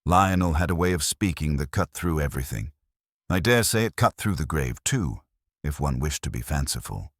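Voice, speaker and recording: A man's voice: rumbling low, animated, normal speed, no room sound, studio quality, full character voice. Man voicing a poised, genteel, calculating townswoman. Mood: wry, admiring. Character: composed, affable, calculating small-town woman, faintly amused.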